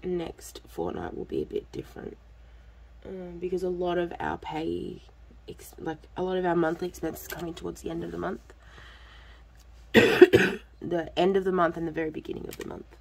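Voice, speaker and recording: A woman's voice: on the low side, lively intonation, normal speed, vocal fry, low-quality recording. A woman, talking casually to her viewers, congested and coughing, her throat a bit off, rambling with lots of ums. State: lingering cough and congestion after illness. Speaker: vlogger, budgeting channel host.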